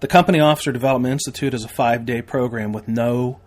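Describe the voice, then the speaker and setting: Male, American, mid-pitched. Podcast host and instructor selling training in a folksy, emphatic monologue. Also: fairly even intonation, fast talker. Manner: emphatic, folksy sales delivery with repetition and rhetorical questions.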